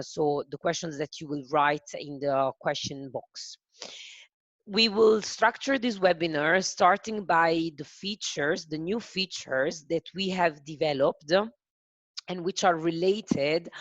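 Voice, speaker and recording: A woman with an Italian accent hosting a software demo webinar, speaking carefully and haltingly with frequent fillers. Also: lowish voice, no room sound, muffled recording. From Italy. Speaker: webinar host.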